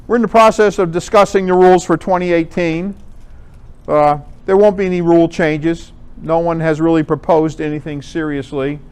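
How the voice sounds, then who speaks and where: Clear-voiced American man presenting. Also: fairly high pitch, fairly even intonation, moderate pace, a little mellow. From Connecticut, United States. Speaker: amateur radio contest organizer, presenter. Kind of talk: informal club presentation with slides.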